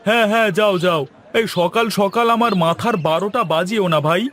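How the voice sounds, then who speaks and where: A man's voice: high-pitched, brisk, fairly bright tone, pitched above the performer's own voice. A man voicing an irritable, sarcastic grocer in a cartoon, scolding as he talks. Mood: irritated. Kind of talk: cartoon voice acting.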